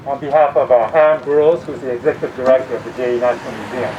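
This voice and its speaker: A man's voice: medium pitch, steady tone, fast, lo-fi sound. A man speaking informally as an event host. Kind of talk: informal spoken introduction and thanks. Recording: distant mic, clear background noise.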